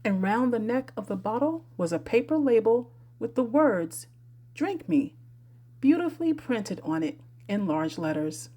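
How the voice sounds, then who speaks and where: A woman reading a classic children's story aloud as an expressive audiobook narrator. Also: on the high side, moderate pace, few pauses. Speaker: audiobook reader.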